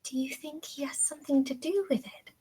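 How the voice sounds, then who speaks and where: A woman playing a gentle, sickly young captive in a drama table read, with a soft, breathy voice. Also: high voice, brisk, lightly acted. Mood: uncertain.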